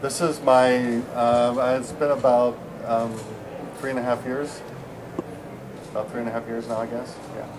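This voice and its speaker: A man's voice: mid-range voice, level tone, unhurried, noisy background. A middle-aged American man from San Diego, chatting casually at a conference as an interviewee, rambling with many fillers and restarts. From San Diego, USA. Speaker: interviewee, software engineer. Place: busy conference venue.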